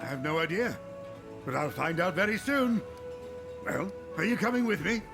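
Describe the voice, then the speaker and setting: An actor playing a gruff, hot-tempered warrior devoted to his god in a fantasy video game, with a deep gravelly voice and blustering, emphatic delivery. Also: very animated, moderate pace, full character voice. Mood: fervent, excited. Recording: game music behind the voice. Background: loud game music.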